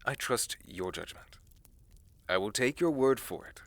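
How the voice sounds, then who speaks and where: A man's voice: mid-range voice, animated, measured pace, a bit bright, no room sound. A man playing a proud, distrustful fantasy ruler in an audio drama, speaking in a stern, blunt and challenging way. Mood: curt, grudging. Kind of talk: dramatic audio roleplay acting.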